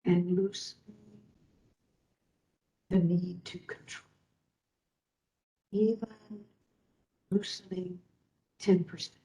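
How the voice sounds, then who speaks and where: A woman instructor leading a guided meditation in a soothing way, with long pauses between short phrases. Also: lowish voice, little pitch movement, mellow. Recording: roomy echo, distant from the microphone.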